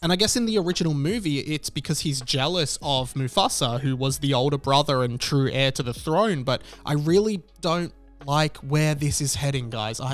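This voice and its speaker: A thirty-year-old man giving casual opinion commentary as a movie fan. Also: fairly high pitch.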